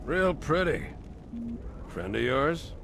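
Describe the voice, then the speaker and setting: An actor playing a brusque, commanding military officer in a video game cutscene, speaking tersely. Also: male voice, a little high, sing-song, takes it slowly, gravelly, noisy background, exaggerated voice. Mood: brusque, dry.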